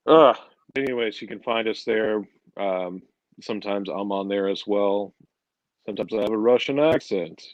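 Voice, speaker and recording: A man playing a dry, deadpan comic-book villain scientist as an in-character guest on a livestream. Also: lowish voice, moderate pace, no echo, highs cut off, close to an everyday voice. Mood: wry. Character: Doctor Hugo Strange, male comic-book villain scientist as a podcast guest. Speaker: guest columnist.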